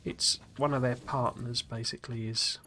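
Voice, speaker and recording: Rambling man, slightly gruff. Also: medium pitch, little pitch movement, moderate pace, bright, forceful tone, a little hiss or hum. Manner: rambling, chatty, many fillers and restarts. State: slightly gruff after a cold. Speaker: streamer. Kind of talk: casual live-stream chat while playing.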